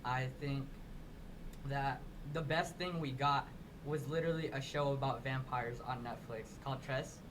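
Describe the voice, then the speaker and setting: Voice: mid-range voice, steady tone, measured pace, fairly bright tone. A teenage podcast guest talking casually and animatedly, using slang like "dude" and "literally.". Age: teens. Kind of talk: casual discussion. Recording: noisy background.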